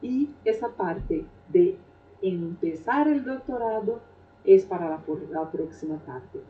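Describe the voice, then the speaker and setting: A middle-aged Brazilian woman, a Portuguese native speaker with a Brazilian Portuguese accent, telling her life story in an unhurried, reflective way with frequent hesitations. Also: mid-range voice, animated, slight background noise. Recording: roomy, distant, dull sound.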